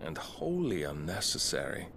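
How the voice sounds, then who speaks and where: An actor playing a cold, haughty, menacing villain with controlled delivery in a video game cutscene. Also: male voice, low voice, wildly swooping pitch, normal speed, gravelly, noisy background, full character voice. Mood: cold menace.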